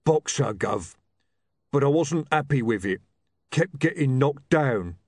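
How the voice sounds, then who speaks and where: A man voicing a big, slow, good-natured troll who used to box, talking in a deferential, simple way. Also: lively intonation, dry recording, clean studio sound. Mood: humble, plain. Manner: mumbling, booming, addresses boss as Gov.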